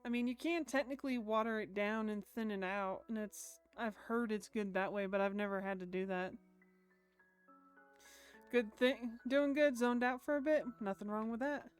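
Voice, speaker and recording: Female game streamer, higher voice, casual and chatty, reads on-screen story between asides, music behind. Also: moderate pace, clear, resonant tone. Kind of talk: casual live stream chat mixed with reading a visual novel aloud. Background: background music.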